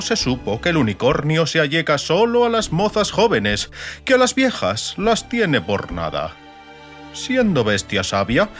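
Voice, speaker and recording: Audiobook role: solemn chronicler of an old bestiary, declamatory and deliberate, voice pitched up, man acting. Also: a bit bright.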